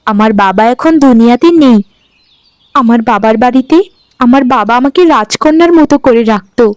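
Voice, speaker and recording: Woman as a gentle, meek young bride, high voice. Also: moderate pace, no room sound, lightly acted. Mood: meek, pleading. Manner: meek, polite, pleading. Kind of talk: Bengali fairy-tale cartoon dubbing. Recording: background music under the voice.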